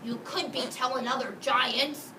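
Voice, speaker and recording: Woman acting a big tearful storybook giant, muddled grammar, exclaiming, high-voiced, noisy room. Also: fairly even intonation, a little slow. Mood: wary. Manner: ungrammatical, exclaiming. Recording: echoey room, distant mic, noisy background.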